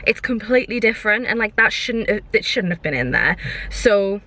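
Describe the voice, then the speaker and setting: A woman's voice: mid-range voice, big pitch swings, fairly quick, bright, forceful tone. A young woman chatting casually for a vlog, airing her opinions in an exasperated, emphatic way and repeating herself. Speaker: vlogger.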